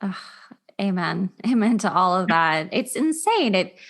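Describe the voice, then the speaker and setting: A woman's voice: medium pitch, big pitch swings, a little slow. A woman hosting a podcast interview in an informal, effusive and emphatic conversational way. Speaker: podcast host.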